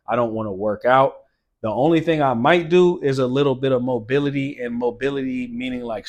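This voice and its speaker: A man in his late thirties hosting a fitness channel, giving an earnest, emphatic motivational talk and repeating phrases for stress. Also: medium pitch. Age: late 30s.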